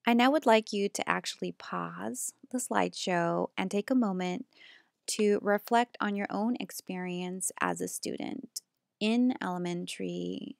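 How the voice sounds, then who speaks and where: A young woman from the United States, a graduate student reading a prepared slideshow presentation in a steady, earnest way. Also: medium pitch, vocal fry, no room sound, clean studio sound.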